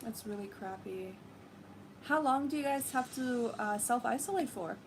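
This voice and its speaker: A woman's voice: a little high, moderate pace, slight background noise, poor audio. A young woman whose first language is Farsi, hosting a livestream and chatting casually with her viewers, with many fillers. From Iran. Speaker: livestream host. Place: living room with a red sofa, indoors.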